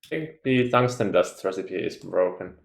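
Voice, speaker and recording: A man's voice: deep voice, expressive, moderate pace, vocal fry, echoey room. A man from Finland, joking and laughing in casual gaming chat.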